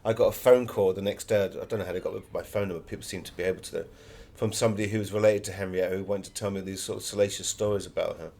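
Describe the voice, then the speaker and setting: A man's voice: low-pitched, fairly even intonation, very fast, gravelly, few pauses, a little mellow. A man. He speaks as an author being interviewed, answering conversationally and telling anecdotes as he goes.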